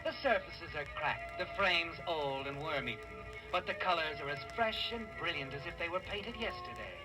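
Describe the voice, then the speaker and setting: A man's voice: fairly high pitch, low-quality recording. Dramatic old-time radio mystery storyteller, male. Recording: old radio broadcast, music underneath.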